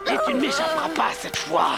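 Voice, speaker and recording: A menacing jungle hunter, gloating over small prey. The gravelly voice sits high and swings dramatically. Loud cartoon music surrounds it. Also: male voice, wildly swooping pitch, brisk, heavily acted. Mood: triumphant threat. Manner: gloating, threatening. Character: menacing male predator hunting a small boy. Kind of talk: French cartoon dubbing.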